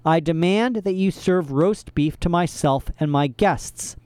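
A man's voice: a little high, a little slow, darkish tone, smooth, no echo, pitched above the performer's own voice, lightly acted. A man voicing a menacing, greedy villain with a scratchy voice in an audiobook.

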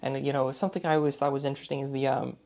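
A college-radio host talks with a guest in a relaxed interview. The host rambles through questions, leaning on "like" and "you know," curious and chatty. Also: medium pitch, rapid-fire, gravelly. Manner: curious, rambling, many likes and fillers.

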